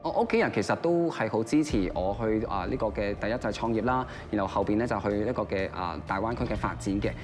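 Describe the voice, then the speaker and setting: A man's voice: lowish voice, fast, hardly any pauses, faint music behind. A man, a young entrepreneur, speaking frankly in an interview with fillers. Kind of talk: casual interview.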